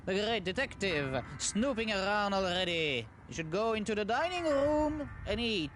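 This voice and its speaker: A man voicing a pompous, irritable gentleman in a game, haughty and suspicious. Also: high-pitched, sing-song, normal speed. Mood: haughty, annoyed. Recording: music behind the voice.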